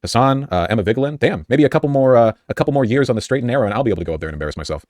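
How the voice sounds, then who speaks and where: A man's voice: mid-range voice, animated, rapid-fire, darkish tone, slight background noise. A man giving emphatic, argumentative and sarcastic off-the-cuff political commentary as he reacts to a news report.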